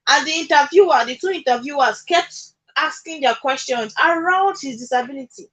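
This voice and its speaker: A woman's voice: sits high, normal speed, fairly bright tone. A Nigerian woman speaking as a radio guest in conversational advocacy, emphatic, repeating her points and checking that she is understood. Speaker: radio guest discussing social issues. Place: radio studio.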